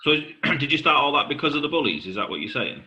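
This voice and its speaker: A man's voice: mid-range voice, sing-song, fast, pushed and bright. A man chatting informally and matily as an interviewer. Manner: matey, informal.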